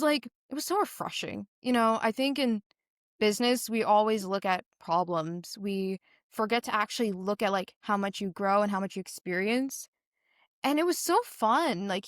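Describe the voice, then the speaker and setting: A woman's voice: medium pitch, dry recording, studio quality. A teenage girl from Singapore giving earnest, conversational interview answers as a young business founder, telling stories animatedly with a lot of "like". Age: teens.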